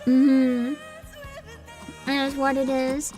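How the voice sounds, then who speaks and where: Super high pitched streamer girl, woman chatting excitedly w her chat, music playing behind. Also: drawn-out words. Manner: animated, exclamatory. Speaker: livestream host, VTuber. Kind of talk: casual livestream chat.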